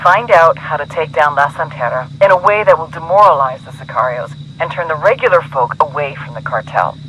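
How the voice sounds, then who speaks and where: An actor playing a hard-bitten intelligence handler giving a blunt, grim mission briefing in a game. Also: male voice, fairly high pitch, animated, fairly quick, pushed and bright, poor audio. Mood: grim, urgent. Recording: faint vehicle or radio noise.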